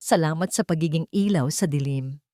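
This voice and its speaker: A woman's voice: low voice, normal speed, dark tone, dry recording, clean studio sound, natural voice. A woman with a Filipino accent voicing a devoted, earnest billionaire husband and father in an audiobook romance. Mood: tender gratitude.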